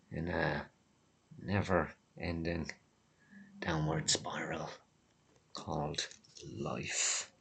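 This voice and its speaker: Slow, low, hoarse, gloomy man. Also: a bit bright. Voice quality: hoarse, breaking voice. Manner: morose, weary, sardonic gloom; halting, with long pauses. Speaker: vlogger. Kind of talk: rambling personal monologue to camera.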